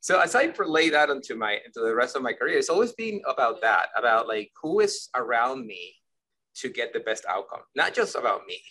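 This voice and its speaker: A man's voice: mid-range voice, big pitch swings, a little fast, bright, forceful tone, husky, low-quality recording. A man from Ecuador, a guest in an interview, telling stories at length with many restarts and checks like "you see what I mean?". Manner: rambling, repeats words, asks "you see what I mean?". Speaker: guest, company leader. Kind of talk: conversational interview answers.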